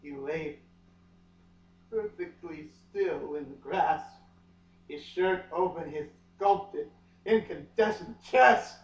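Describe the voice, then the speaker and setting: A man giving an overwrought, melodramatic comic reading of a novel with lingering dramatic pauses. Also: fairly high pitch. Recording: echoey room, far from mic, muffled.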